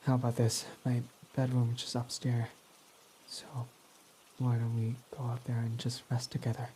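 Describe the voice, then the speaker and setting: A once-hostile villain, now tender, voiced by a young man in an intimate roleplay. His mellow, dark-toned voice sits at a middling pitch and often slips into a whisper. Rain patters faintly behind him. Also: completely flat delivery, normal speed, a little hiss or hum, lightly acted, poor audio. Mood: soft, affectionate. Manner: soft-spoken, often dropping to a whisper. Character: guarded young male villain, softened by love. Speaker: ASMR roleplay voice actor. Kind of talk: ASMR romantic roleplay.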